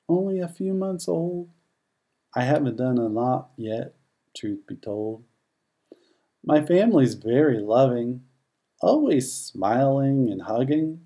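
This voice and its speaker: A narrator voicing a happy, innocent baby basset hound in a rhyming children's storybook. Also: mellow.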